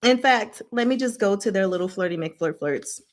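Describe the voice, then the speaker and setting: A woman's voice: mid-range voice, animated, normal speed. A woman casually recapping a novel in a book discussion, with a chatty, playful, giggly manner and paraphrased dialogue acted out in slang.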